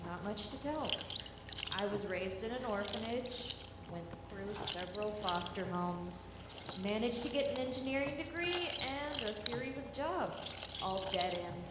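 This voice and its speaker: A woman's voice: mid-range voice, lively intonation, a little slow, natural voice. A woman playing a guarded, practical, lonely young female space engineer in a live radio drama, with a wry, plain-spoken manner. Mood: wry, candid. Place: live convention stage performance in a hall. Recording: echoey noisy hall, long reverb.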